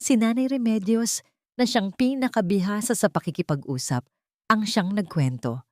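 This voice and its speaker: A woman's voice: mid-range voice, moderate pace, no room sound, studio quality. A woman storyteller giving scripted, dramatic narration of a love story.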